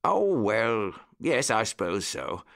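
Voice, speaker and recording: A man voicing a kindly, fussy old gentleman rabbit in a children's story, with a genteel, exclamatory manner. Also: mid-range voice, big pitch swings, measured pace, voice pushed up.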